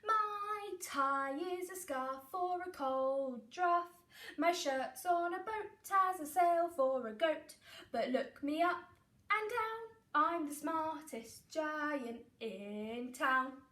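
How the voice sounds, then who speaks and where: A woman's voice: sits high, sing-song, measured pace. Woman doing a storybook giant, male, kind, cheerful, sing-song, far from mic. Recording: echoey room, far from mic.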